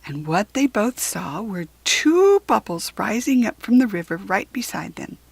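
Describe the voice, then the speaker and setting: Female storybook reader for children: expressive, deliberate, lively intonation, breathy-husky tone. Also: mid-range voice, no room sound. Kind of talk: expressive read-aloud narration for children.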